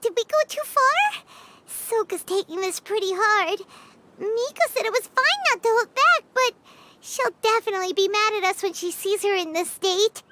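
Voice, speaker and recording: A woman voicing a small, childlike fairy companion in a game, squeaky, excitable, bossy and cheeky. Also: moderate pace, background noise. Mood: flustered. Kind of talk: animated game voice acting.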